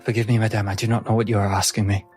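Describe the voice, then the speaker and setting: A man's voice: fairly low pitch, level tone, racing, a little mellow, faint music behind, close to an everyday voice. Man playing timid, stammering servant mystic woman. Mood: anxious, reluctant. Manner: hesitant, stammering, very formal and obsequious. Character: timid, deferential female servant mystic, anxiously eager to please.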